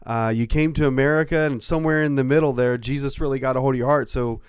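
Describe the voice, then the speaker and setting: A male podcast co-host with a smooth, resonant voice, recorded dry and close. He asks questions earnestly and at a measured pace. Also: mid-range voice, fairly even intonation, no echo. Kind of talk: casual podcast interview.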